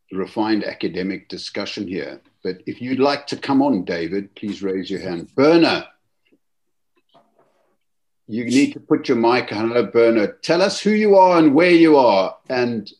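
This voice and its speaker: A man leading a webinar discussion, speaking in a gentle, reflective and courteous way over a video call. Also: on the low side. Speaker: webinar panelist and host.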